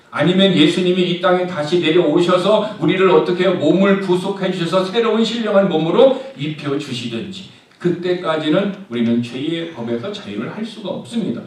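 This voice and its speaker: A man preaching a sermon as pastor, often asking the congregation a question and then answering it himself. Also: sits high. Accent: Korean. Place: church sanctuary. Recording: very echoey church hall, mic at a distance.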